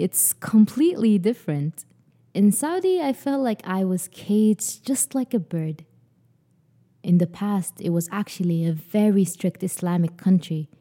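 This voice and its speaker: A young woman from Sudan hosts a podcast conversation. Her voice is very dark and mellow, and she reads prepared lines at a mid pitch in an earnest, personal manner. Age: young adult. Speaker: podcast host.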